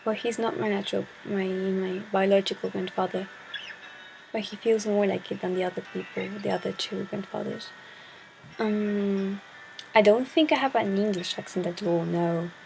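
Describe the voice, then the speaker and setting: A woman's voice: medium pitch, steady tone, normal speed, vocal fry, darkish tone, a little hiss or hum, low-quality recording. A shy teenage girl from Chile, a native Spanish speaker, talking to her camera in a hesitant way and searching for words. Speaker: vlogger. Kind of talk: casual talk to camera.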